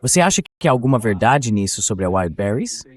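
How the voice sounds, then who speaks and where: A man's voice: fairly low pitch, sing-song, a little fast. A man speaking as an interviewer in a translated voice-over.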